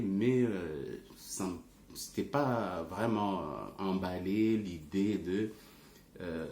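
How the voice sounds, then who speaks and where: A man with a very low voice talks to his followers like an informal religious teacher. He is not a native French speaker and speaks haltingly, searching for the next word. The room echoes around him, with faint noise behind. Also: mellow. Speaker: religious teacher and ruqyah healer. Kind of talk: informal explanatory talk to online followers. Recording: roomy echo, faint background noise.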